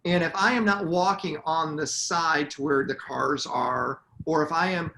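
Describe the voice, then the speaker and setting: A middle-aged man co-hosting a relationship talk show, jokey and animated, prompting his partner to explain. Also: a little high, unhurried, creaky, slight background noise. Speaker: podcast co-host. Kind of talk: casual chat. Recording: echoey room, faint ambience.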